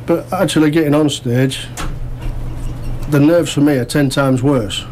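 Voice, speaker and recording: Mellow man, casual guest. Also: a little high, unhurried, slight background noise. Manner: modest, self-deprecating. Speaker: amateur actor interview guest. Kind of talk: casual radio interview chat.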